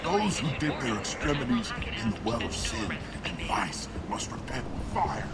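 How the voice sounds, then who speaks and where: An actor playing a zealous, menacing alien street preacher, declaiming bombastically in solemn, archaic apocalyptic sermon style for a video game. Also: male voice, medium pitch, big pitch swings, moderate pace, close to an everyday voice. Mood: ominous. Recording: loud music over the voice.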